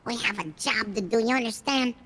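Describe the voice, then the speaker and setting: A woman's voice: fairly high pitch, brisk, sharp tone, slight background noise. A woman streamer roleplaying a brash, profane criminal, bickering and shrieking when angry. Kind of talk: in-character roleplay banter.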